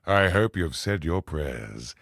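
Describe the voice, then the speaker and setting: An actor playing a tormented, godlike older scholar and father in a video game, speaking in a gravelly, breathy voice with solemn, theatrical deliberation. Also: sits low, expressive, slow pace, fairly bright tone, no echo, clean studio sound, full character voice. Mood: menacing. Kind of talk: dramatic scripted game monologue.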